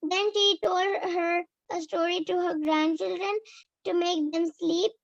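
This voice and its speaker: Brief: young girl with an Indian English accent, very high voice with a gravelly edge, halting storybook reading. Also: steady tone, no echo, highs cut off. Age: child. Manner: slow, halting reading with restarts. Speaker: child storyteller. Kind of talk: reading a children's story aloud.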